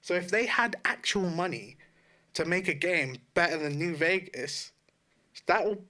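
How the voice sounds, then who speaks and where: A man's voice: high voice, expressive, a little slow, sharp tone. A man co-hosting a podcast in casual chat, talking informally and correcting himself as he goes. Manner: informal, sweary, self-correcting. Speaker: podcast co-host.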